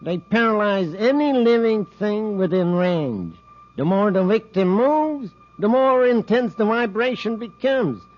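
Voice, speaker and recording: An actor playing a gloating criminal villain in a 1950s radio serial, speaking theatrically and savouring every menacing detail. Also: male voice, high voice, expressive, measured pace. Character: gloating criminal villain with a deadly beam weapon. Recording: muffled old 1950s radio recording.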